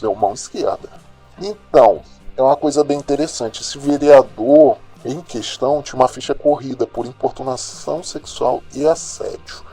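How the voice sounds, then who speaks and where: Mellow-voiced Brazilian radio crime journalist, acted by a man. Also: medium pitch, lightly acted. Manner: colloquial, opinionated, dramatic, addressing listeners directly. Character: streetwise, indignant male local radio crime journalist. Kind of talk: radio news commentary in an audio drama.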